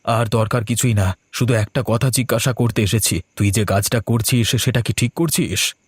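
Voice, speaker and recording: A man's voice: medium pitch, fairly even intonation, normal speed, husky, dry recording, natural voice. A man voicing a bold, earnest village man in a cartoon, speaking urgently and expressively. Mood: confronting. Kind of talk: cartoon story voice acting.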